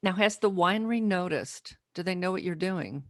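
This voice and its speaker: A woman's voice: fairly low pitch, normal speed, no room sound. Woman podcast host, dry close sound. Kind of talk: casual interview chat.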